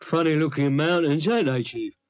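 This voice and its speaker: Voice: mid-range voice, normal speed, close to an everyday voice. An actor playing a dim, whining henchman in a children's cartoon audio story. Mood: dim, eager. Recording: muffled old audio cassette.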